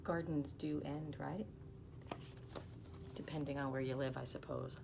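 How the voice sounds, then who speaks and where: Woman in her fifties, children's story reader, creaky voice, soft asides, small office, noticeable background noise. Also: sits low. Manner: expressive, unhurried, dropping to soft asides. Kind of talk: reading a picture book aloud to children, with explaining asides. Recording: clearly noisy small-room recording.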